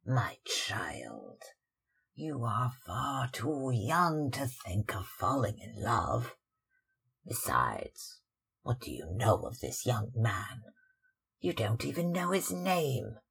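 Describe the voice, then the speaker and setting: Narrator putting on a husky, affected older mother. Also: mid-range voice, big pitch swings, takes it slowly, a bit bright, heavily acted. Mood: disapproving, calculating. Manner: affected, disapproving, sighing. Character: older mother, faded stage actress, fretful and calculating. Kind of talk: audiobook character acting.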